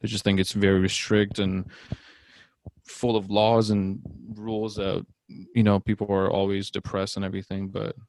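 A young man chats as a podcast host in a low, gravelly voice. His intonation stays very even, and he talks haltingly, searching for the right word. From born in Iran. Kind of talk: casual podcast conversation.

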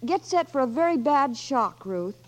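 An actress playing a gentle, earnest Red Cross worker, speaking with some hesitation, in 1940s radio acting. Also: female voice, high voice, sing-song, normal speed.

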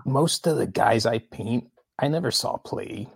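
A man talking as a guest artist in a relaxed chat, pausing often and restarting his sentences. His low voice is husky and gravelly. The recording is dry and close. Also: dry recording. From United States. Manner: hesitant, halting, restarts often. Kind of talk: casual conversation.

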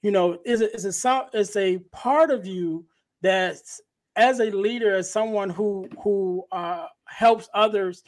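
A man hosting a podcast, with a soft, light voice, reflecting on and affirming his guest's words. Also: high-pitched, a little slow. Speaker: podcast host. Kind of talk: casual podcast interview.

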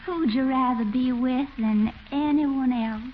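An actress playing a coy, flirtatious Southern belle neighbor with a Southern American accent in a 1940s radio comedy. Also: female voice, high-pitched, unhurried. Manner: coy, cooing, affected. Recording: muffled, faintly noisy 1940s radio recording.

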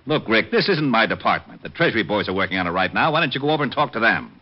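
An actor playing a put-upon, grumbling American homicide lieutenant in an old radio drama. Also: male voice, on the low side, big pitch swings, very fast. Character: exasperated, long-suffering male police homicide lieutenant. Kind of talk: 1950s radio comedy-drama acting. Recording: dull vintage radio recording.

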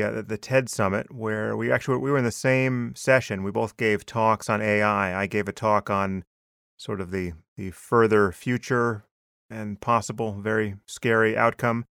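A man hosting an interview podcast, asking questions in a measured, thoughtful way. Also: on the low side, fairly even intonation, gravelly, fairly bright tone, smooth, dry recording, clean studio sound. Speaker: podcast host.

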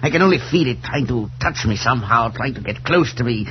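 An actor playing a proud, tormented professor with an intense, clipped, theatrical delivery in 1940s radio suspense style. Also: male voice, medium pitch, animated, fairly quick, a little hiss or hum, lightly acted. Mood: anguished revulsion. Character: tormented, insistent male professor, haunted and proud. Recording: dull, humming 1940s radio recording.

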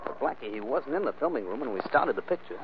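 Guy playing a brisk film director, talks fast, plain delivery, dull hissy vintage radio sound. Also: mid-range voice, sing-song, lightly acted. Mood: puzzled. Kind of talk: 1940s radio drama acting. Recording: dull old radio recording, clear hiss.

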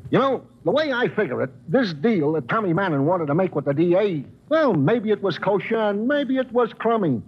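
Plodding cop sidekick, slightly high and husky, homely colloquial delivery, muffled old radio. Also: male voice, very animated, normal speed, few pauses, exaggerated voice. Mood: musing. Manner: colloquial, ungrammatical working-class New York banter. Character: plodding, fussy male police sergeant sidekick. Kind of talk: 1950s radio drama acting.

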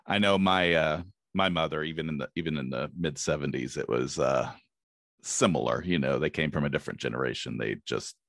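A middle-aged man hosting a podcast, speaking in a halting, casual way with many pauses. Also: extremely low pitch, gravelly, a bit bright, dry recording, studio quality. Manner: halting, many fillers and restarts. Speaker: podcast host. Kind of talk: casual interview.

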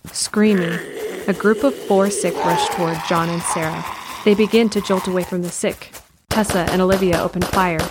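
A woman's voice: on the low side, noisy background. A woman reading scripted narration for an audio drama. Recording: music behind the voice.

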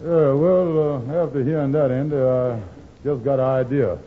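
An actor playing a wily, blustering schemer who bluffs theatrically in old radio comedy style. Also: male voice, mid-range voice, steady tone, normal speed, lightly acted. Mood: scheming. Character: wily, blustering male schemer, full of dodges. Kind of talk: 1940s radio comedy acting. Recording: tinny, lightly hissy old-time radio recording.

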